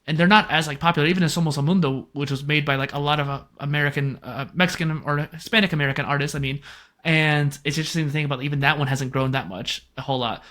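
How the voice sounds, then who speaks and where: A male podcast host speaks quickly in an upbeat, chatty manner. His tone is bright and forward, and he slips in playful jokes between his questions. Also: on the high side. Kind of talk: casual podcast conversation.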